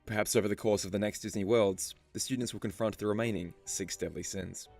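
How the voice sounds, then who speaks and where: Gravelly low-voiced male narrator over music. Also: steady tone, fairly quick, darkish tone, no echo. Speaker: video narrator. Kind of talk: scripted story explainer narration. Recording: music behind the voice. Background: background music.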